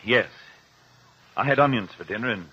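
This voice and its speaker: A man's voice: mid-range voice, animated, moderate pace, breathy edge. A man playing an earnest, anxious doctor under questioning in a 1950s radio drama, explaining precisely. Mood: careful. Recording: muffled old radio recording.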